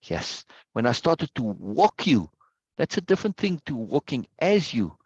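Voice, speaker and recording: A man's voice: medium pitch, very animated, a little slow, a little mellow, husky, no echo. A middle-aged man with a rural South African accent teaching informally and emphatically, often repeating himself and checking in with short tag questions. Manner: emphatic, repetitive, often checks with "right?" and "yes?". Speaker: teacher of a personal-growth course. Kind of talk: informal unscripted lesson. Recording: dull lo-fi sound.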